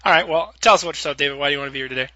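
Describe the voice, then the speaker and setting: A male podcast host with a very bright, forceful voice talks quickly and casually. He rambles chattily as he presents, close to the mic in a dry-sounding space. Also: on the high side, no echo, highs cut off. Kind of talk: casual podcast hosting, loosely scripted.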